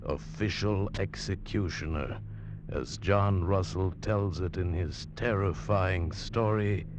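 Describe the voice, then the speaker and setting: Slow, very deep vintage radio announcer man. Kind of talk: dramatic 1940s-50s radio introduction. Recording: noisy, dull vintage radio recording.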